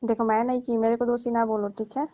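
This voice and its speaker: A woman's voice: fairly high pitch, little pitch movement, fast talker, warm tone, no room sound. A middle-aged woman chatting casually on the phone in a coy, teasing, playfully scolding way. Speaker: participant in a comic phone call. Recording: dry, dull lo-fi phone-call audio.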